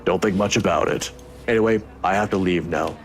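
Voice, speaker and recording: A woman voicing a kindly old male professor, courteous and gently teasing, for a visual novel. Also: rumbling low, a little fast, slight background noise, pitched below the performer's own voice. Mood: gentle, advising.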